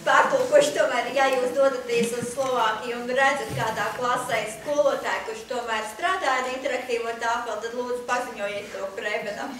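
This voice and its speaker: A woman's voice: on the high side, fairly even intonation, fairly bright tone. Echoey distant woman interpreter. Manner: measured, with frequent fillers. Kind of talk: consecutive interpreting. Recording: echoey hall, distant mic, faint noise.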